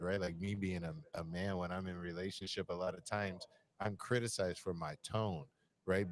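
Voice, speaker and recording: A man with a deep voice, musing at length in a reflective conversation. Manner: musing, searching, repeats phrases.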